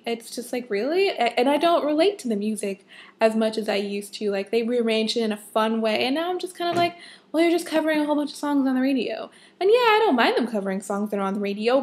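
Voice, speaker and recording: Young female vlogger, somewhat high pitch, a touch hoarse; casual, exasperated, opinionated rant delivery. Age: young adult. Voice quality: a bit husky. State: slightly hoarse. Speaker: vlogger, fan of a TV show.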